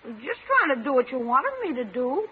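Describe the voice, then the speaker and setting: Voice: high voice, sing-song, brisk, slightly rough, full character voice. A performer playing a stubborn, rambunctious young American boy in a classic radio comedy, brash and cheeky. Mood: subdued. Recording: very noisy, muffled vintage radio recording.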